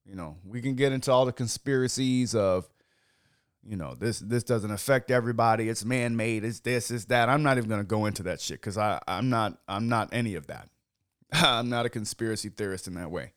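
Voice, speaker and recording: A young man hosting a casual wine-tasting podcast, hoarse from yelling, talking loosely with lots of fillers and swearing. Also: on the low side, a little fast, dry recording, studio quality. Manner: loose and chatty, many "like" fillers, swears freely. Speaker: podcast host.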